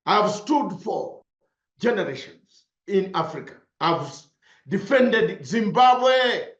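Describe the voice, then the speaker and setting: A man's voice: high-pitched, animated, slow pace, fairly bright tone. A man from Uganda, a broadcaster, giving an impassioned political speech with emphatic repetition. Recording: roomy, slightly dull sound.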